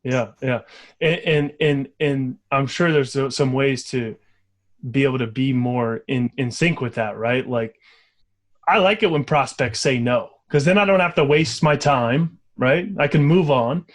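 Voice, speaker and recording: A man hosting a podcast interview, relaxed and affirming, with halting restarts when he asks questions. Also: mid-range voice. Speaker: podcast host. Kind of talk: casual interview chat.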